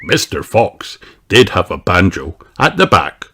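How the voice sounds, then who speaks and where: Slow, expressive male storybook reader. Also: low voice. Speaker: narrator. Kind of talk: children's book read aloud. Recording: music playing behind.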